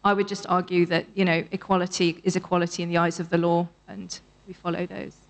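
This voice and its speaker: A woman speaking as an academic panellist at a conference, explaining her answers in a measured way. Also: on the low side, flat delivery, gravelly. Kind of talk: answering audience questions on a panel.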